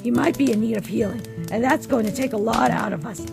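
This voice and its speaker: A woman's voice: on the high side, fast, creaky. A woman voicing a tough, protective monster warrior in a comic dub, blunt, casual and confident. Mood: wary, serious. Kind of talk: comic dub character acting. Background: music.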